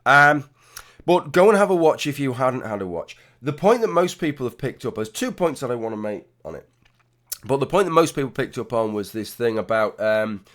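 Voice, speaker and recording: A man with a hoarse, gravelly voice talks through his own commentary in a rambling, unscripted way, often restarting sentences mid-thought and dropping blunt swear words and wry asides. His voice sits at an ordinary middle pitch for a man. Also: big pitch swings, moderate pace, fairly bright tone. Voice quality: gravelly, husky. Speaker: YouTube commentator. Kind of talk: casual spoken commentary vlog.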